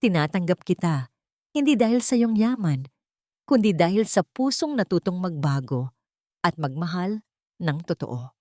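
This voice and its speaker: A woman's voice: on the low side, very animated, normal speed, pauses often, darkish tone, no echo, clean studio sound. A woman storyteller voicing a forgiving, steadfast bride in a tender, solemn manner. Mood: tender resolve. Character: forgiving, steadfast bride speaking her love.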